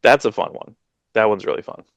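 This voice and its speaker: A man in his forties co-hosting a comics podcast from home on a headset, talking in a relaxed, hesitant way with many fillers. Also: a little fast, no echo. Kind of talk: casual chat.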